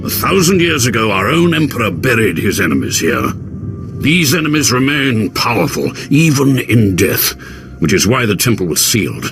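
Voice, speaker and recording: An actor playing a grave, urgent Sith scholar in a video game, speaking in a theatrical, formal, foreboding way. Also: male voice, mid-range voice, very animated, moderate pace, fairly bright tone, soft background music. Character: grave, urgent Sith scholar, male, warning of ancient dead. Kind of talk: dramatic game cutscene acting.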